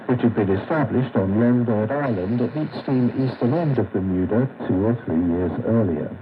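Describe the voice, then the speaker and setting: A man narrating a scripted history segment on a radio program, in a measured, read-aloud way. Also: on the low side. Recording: dull shortwave radio reception with static.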